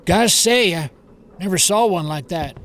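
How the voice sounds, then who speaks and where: A man playing a gruff, kindly older uncle in an audio drama, folksy and plain-spoken. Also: high-pitched, lively intonation, normal speed, a bit bright. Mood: concerned, gentle.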